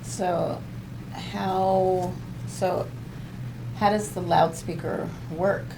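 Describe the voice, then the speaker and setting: A woman's voice: lowish voice, little pitch movement, extremely slow. A woman casually asking simple questions as an interviewer in a conversational exchange. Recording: clear background noise.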